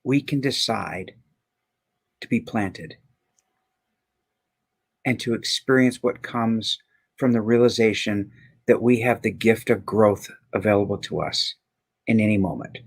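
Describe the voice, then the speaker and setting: A man with a medium-pitched voice talks as a guest in a casual, inspirational conversation. His phrasing is deliberate and emphatic, building through repeated openings. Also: vocal fry, husky.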